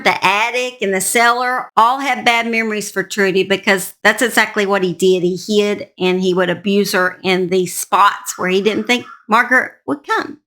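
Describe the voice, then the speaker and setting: A woman hosting a true crime show, telling a story in a measured narration with informal personal asides. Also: fairly high pitch, bright, forceful tone. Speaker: true crime channel host.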